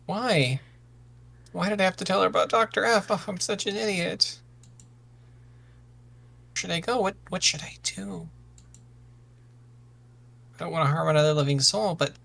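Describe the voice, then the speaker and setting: A man acts a sensitive misfit son in a fantasy drama. His voice is rather high and bright. He hesitates and stammers, earnest and anxious. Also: gravelly, exaggerated voice.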